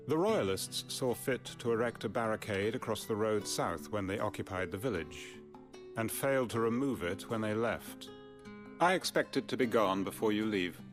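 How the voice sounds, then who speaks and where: Male innkeeper for fantasy game , actor: low-ish, measured, formal, dry, unbending; music behind. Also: lightly acted. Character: stern, proper, unyielding male village innkeeper. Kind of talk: video game cutscene acting.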